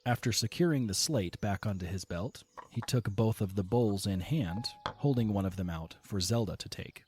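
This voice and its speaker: A man narrating an audio drama in a steady, measured reading style. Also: fairly low pitch, faint music behind.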